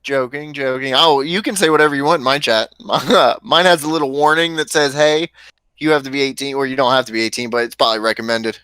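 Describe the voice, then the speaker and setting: Late-20s man, teasing stream host, bright and smooth, close dry sound. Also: medium pitch, fairly quick, dry recording. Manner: joking, teasing. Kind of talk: casual livestream chat.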